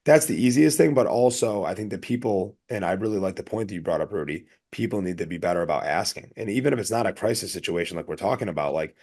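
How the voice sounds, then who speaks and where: Low-pitched man chatting on a podcast. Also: quick pace, hardly any pauses, a little mellow. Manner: rambling, earnest, anecdotal. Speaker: podcast co-host. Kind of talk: casual podcast chat.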